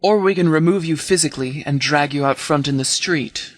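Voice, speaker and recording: A man voicing a stern, authoritative sheriff in a video game, speaking in a measured, commanding, formal way. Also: on the high side, no echo, close to an everyday voice. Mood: cold threat. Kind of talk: scripted game dialogue.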